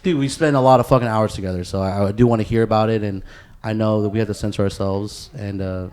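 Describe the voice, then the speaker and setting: A man's voice: fairly low pitch, steady tone, fast talker, darkish tone, smooth. Profane, rambling man hosting a podcast. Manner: profane, rambling, informal slang. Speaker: podcast host. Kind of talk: casual podcast banter.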